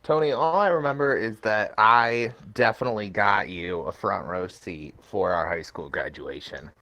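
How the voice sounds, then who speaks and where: A young man with an American accent and a fairly low voice chats casually with friends. He tells stories informally and swears freely, like a co-host on a podcast. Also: normal speed, bright, forceful tone. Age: young adult. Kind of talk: casual chat among friends.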